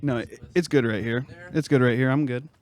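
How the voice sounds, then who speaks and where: A man's voice: mid-range voice, fairly even intonation, fairly quick. A young man bantering as a podcast co-host, teasing and slangy, repeating phrases for comic effect. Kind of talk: casual comedic podcast banter.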